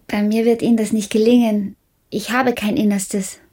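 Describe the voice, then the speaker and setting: A woman acts out an estranged, stubborn character in a radio play. Her voice is soft, dark-toned and breathy, in a dry, close studio sound. She makes plain, deliberate statements with an earnest, detached air. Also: medium pitch, little pitch movement, dry recording, lightly acted. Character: detached, stubborn woman applicant who lost her sense of self. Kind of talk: radio drama acting.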